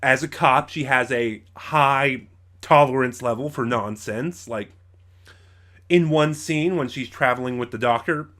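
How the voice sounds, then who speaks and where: A man presents an informal review as host of his own show. He talks conversationally and haltingly, often breaking off and rewording what he has just started to say. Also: mid-range voice, fairly bright tone. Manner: halting, conversational, circles back and rephrases. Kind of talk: informal review to camera or mic, loosely scripted.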